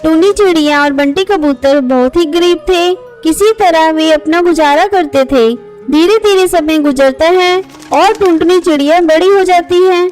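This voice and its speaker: A woman's voice: extremely high pitch, a little slow, darkish tone, soft background music, poor audio. A woman narrating a scripted children's moral story as its storyteller.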